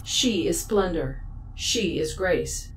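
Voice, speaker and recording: Slow, glitching female AI. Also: on the low side, animated, a little hiss or hum, low-quality recording. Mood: cryptic, glitching. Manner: stuttering, repeating fragments. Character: malfunctioning female AI spouting stuttering gibberish. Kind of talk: scripted audio drama acting.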